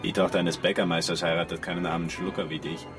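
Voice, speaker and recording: An actor playing a gruff, scornful soldier on guard duty in a children's radio drama. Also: male voice, low-pitched, little pitch movement, quick pace, music in the background, natural voice.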